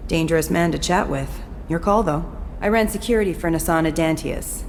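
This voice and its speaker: A woman's voice: low-pitched, fairly quick, slight background noise. A woman playing a wry, streetwise ex-security informant in a video game, guarded and matter-of-fact. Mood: cool, wry. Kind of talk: video game dialogue acting. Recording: game music behind the voice.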